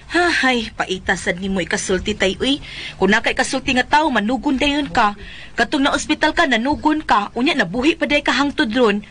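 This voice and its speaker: An actress playing an exasperated, nagging grown daughter in a Bisaya comedy radio drama, with a Filipino accent. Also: female voice, on the high side, brisk, a bit bright, a little hiss or hum, lightly acted. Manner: exasperated, scolding. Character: exasperated, nagging adult daughter of a fretful old father.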